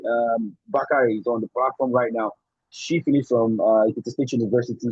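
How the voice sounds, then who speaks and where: A man's voice: medium pitch, darkish tone, breathy edge. A man with a Nigerian accent giving an effusive, earnest, informal thank-you speech, repeating phrases as he goes. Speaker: graduating course student. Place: indoors, online video call. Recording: muffled online call, echoey room.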